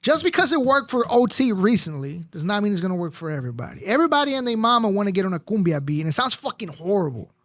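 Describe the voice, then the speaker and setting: A man's voice: sits high, big pitch swings, fast, hardly any pauses, a bit bright, dry recording, clean studio sound. Blunt, profane Mexican-born man hosting. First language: Spanish. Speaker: podcast host. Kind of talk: casual chat.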